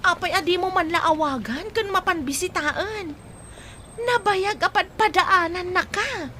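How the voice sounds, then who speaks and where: A woman playing a lively, meddling young friend in a radio drama, coaxing and animated. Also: very high voice, unhurried, a little hiss or hum, full character voice. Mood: earnest. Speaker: actor in audio drama. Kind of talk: Ilocano radio comedy-drama acting.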